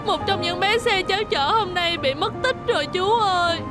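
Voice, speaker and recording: A woman's voice: very high-pitched, moderate pace. A woman voicing an anxious, caring young female truck in a children's cartoon dub, worried and earnest. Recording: music behind.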